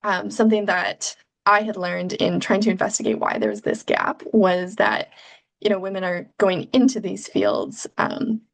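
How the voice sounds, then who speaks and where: A young Canadian woman, a PhD researcher, giving thoughtful, measured answers in an interview with frequent ums. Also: mid-range voice, fairly even intonation, vocal fry.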